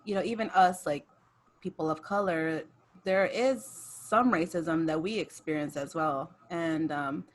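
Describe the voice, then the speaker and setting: A woman's voice: low-pitched, unhurried. A young woman co-hosting a podcast, chatting casually on a video call from her room with laughs and fillers. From United States. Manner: relaxed, laughs often, many fillers. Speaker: podcast co-host. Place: bedroom at home, indoors. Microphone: video call webcam mic.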